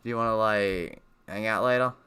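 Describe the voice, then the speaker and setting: Sharp-voiced male streamer, fairly low. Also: steady tone, moderate pace, smooth. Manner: sweary, joking. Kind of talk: casual gaming commentary, reading visual novel text aloud.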